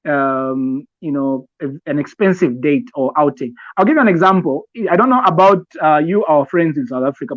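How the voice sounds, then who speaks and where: A man from Zambia with a Zambian accent, giving conversational advice as a podcast co-host, explaining deliberately and haltingly with repeated phrases. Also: on the high side, no room sound, dull audio. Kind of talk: conversational advice talk with personal examples.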